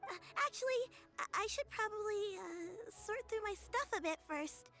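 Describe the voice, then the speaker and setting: A woman's voice: extremely high pitch, animated, moderate pace, fairly bright tone, soft background music, full character voice. An actress playing a shy, flustered young female apprentice in a video game, stumbling over her words with fillers. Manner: hesitant, fumbling speech with fillers.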